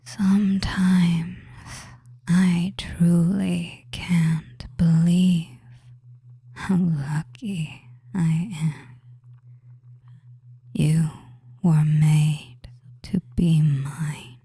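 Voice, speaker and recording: A performer voicing a possessive, doting witch in a whispered hypnosis roleplay, lulling and controlling, with deliberate pauses and a breathy delivery. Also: medium pitch, flat delivery, dark tone, dry recording, exaggerated voice.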